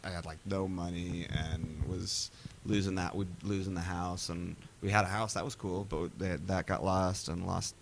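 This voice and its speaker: A man's voice: low-pitched, fairly even intonation, vocal fry, a little hiss or hum. A man chatting casually as a musician guest, telling rambling, slangy anecdotes that he often interrupts himself. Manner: halting, self-interrupting, slangy.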